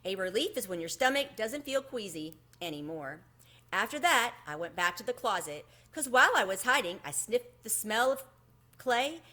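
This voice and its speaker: Animated, bright-voiced woman reading a children's story. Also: mid-range voice, normal speed. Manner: animated, acts out the dialogue. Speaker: reader of a children's book. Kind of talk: expressive children's read-aloud.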